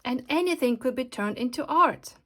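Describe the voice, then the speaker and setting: A woman narrating a children's picture book aloud in an expressive, deliberate way. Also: high-pitched. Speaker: storybook reader and host. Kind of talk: scripted children's storybook narration.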